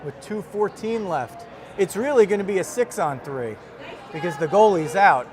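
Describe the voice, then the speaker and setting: A man's voice: high-pitched, animated, normal speed. A man doing live play-by-play commentary on ice hockey in clipped running calls of the action. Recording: loud crowd and rink noise. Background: crowd and rink noise.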